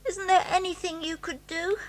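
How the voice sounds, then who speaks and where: A woman's voice: extremely high pitch, level tone, moderate pace, poor audio. A woman voicing an eager, pleading young fox cub in a children's audio story.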